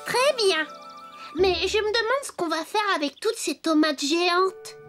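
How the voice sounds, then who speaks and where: A woman's voice: very high-pitched, animated, a little slow, heavily acted. A woman voicing a cheerful little wooden puppet boy in a children's cartoon dub, friendly and childlike. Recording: loud music behind the voice.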